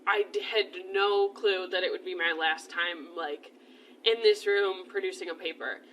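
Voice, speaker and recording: A woman's voice: lowish voice, moderate pace, fairly bright tone. Young woman in a newsroom, distant mic. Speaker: student journalist. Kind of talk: casual interview answers. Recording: distant mic, faint room noise.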